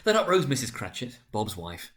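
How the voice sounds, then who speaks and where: Very animated male storybook narrator. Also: mid-range voice, moderate pace. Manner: expressive, theatrical. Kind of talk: dramatic storybook reading.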